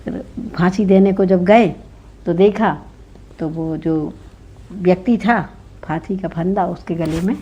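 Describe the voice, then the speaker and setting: A woman's voice: on the low side, measured pace, dark tone, husky. Woman storyteller, dull lo-fi recording. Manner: conversational, checks in with listener. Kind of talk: informal oral folk-tale narration.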